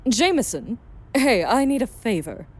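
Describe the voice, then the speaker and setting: A woman's voice: on the high side, very animated, unhurried. A woman playing a worldly, guarded vampire sire in an audio drama, explaining things in dramatic phrasing. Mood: brisk, urgent. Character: self-exiled female vampire sire, worldly, guarded, brooding.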